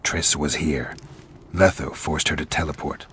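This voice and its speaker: An actor playing a gruff, stoic monster hunter in a video game, with a gravelly low voice and a terse, deadpan delivery. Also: male voice, unhurried, heavily acted. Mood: grim, matter-of-fact.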